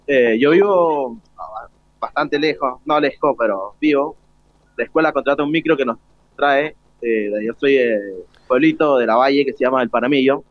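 A teenage Argentine man answers interview questions hesitantly, with an average pitch for a male voice. The recording is dry and lo-fi, like a radio link. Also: moderate pace, no echo. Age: teenage student. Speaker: student being interviewed. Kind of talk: informal explanation in interview. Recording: dull lo-fi radio broadcast link.

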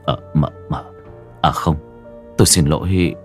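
A man's voice: on the low side, big pitch swings, moderate pace, creaky, faint music behind. A man voicing a humble, kind but fearful male servant in an audio story, stammering apologies with deference. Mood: flustered.

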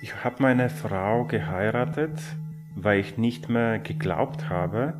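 A man tells his own story in a low voice. He speaks as an interviewee in a documentary. Music runs underneath his words. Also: takes it slowly. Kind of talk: personal documentary recollection.

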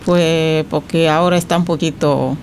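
A woman's voice: low-pitched, steady tone, normal speed. Woman from ivory coast, short shy answers, little hiss behind. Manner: brief, hesitant answers. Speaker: guest. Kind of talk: casual interview answers.

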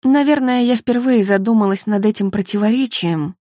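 A woman's voice: on the high side, normal speed, warm tone, dry recording, studio quality, close to an everyday voice. Woman reading as an earnest, troubled woman confiding. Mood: anxious, resolved. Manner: earnest, confessional.

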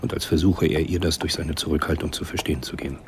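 A man's voice: a bass voice, steady tone, fast, gravelly, noisy background. A man reading scripted literary narration as the narrator of a radio drama.

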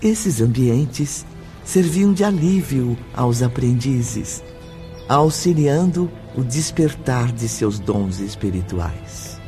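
A man narrating a radio drama in a measured, scripted way. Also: mid-range voice, lively intonation, warm tone. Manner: slow, measured. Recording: radio drama with faint music.

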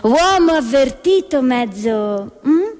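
An actress voices a bold noblewoman of a stage satire, high in pitch. She is firm and mock-polite, every courtesy carrying menace. The hall reverberates around her, with music underneath. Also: animated, slow, darkish tone. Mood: menacing.

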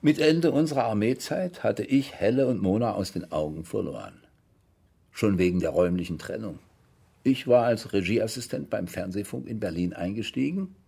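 An actor in a radio drama playing a defensive, self-pitying older man, evasive and dismissive, with a husky, gravelly voice. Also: on the low side, lively intonation, normal speed, mellow, heavily acted. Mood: defensive, reflective. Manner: evasive, dismissive, matter-of-fact. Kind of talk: German radio crime drama acting, interview monologue.

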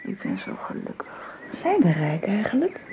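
A woman playing a newlywed bride in an intimate radio drama, murmuring softly and breathily, a little hesitant. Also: on the low side, huge pitch swings, normal speed. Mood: dreamy, happy. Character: dreamy, curious newlywed bride on honeymoon. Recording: muffled, hissy old radio recording.

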